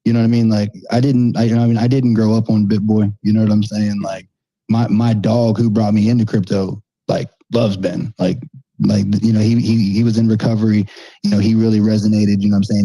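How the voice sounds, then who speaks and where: A man in casual chat, excitable, constantly asking "you know what I mean" and calling people bro. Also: lowish voice, slightly rough, dry recording, rough recording.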